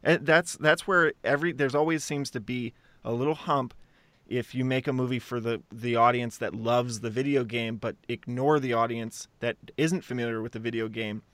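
A man's voice: mid-range voice, steady tone, normal speed, fairly bright tone, dry recording. A man co-hosting a casual podcast discussion, thinking aloud in a conversational way. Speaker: podcast co-host.

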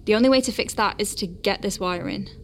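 A woman's voice: mid-range voice, quick pace, slight background noise, natural voice. A woman playing an anxious but wry medic piloting a craft in a science fiction audio drama, thinking aloud in technical terms. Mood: focused, thoughtful.

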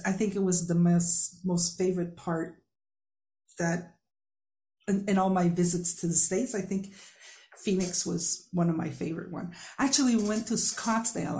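A woman's voice: on the low side, fairly even intonation, normal speed, mellow. A woman from Quebec, a native French speaker with a Quebec French accent, hosting a podcast in a chatty, laughing, storytelling way. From Quebec, Canada. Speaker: podcast host. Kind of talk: casual interview chat.

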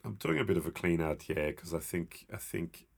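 Stuffy-nosed man, gravelly. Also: low-pitched, expressive, moderate pace. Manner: rambling, with many restarts and fillers. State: stuffed-up nose from a cold. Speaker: podcast co-host. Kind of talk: casual chat.

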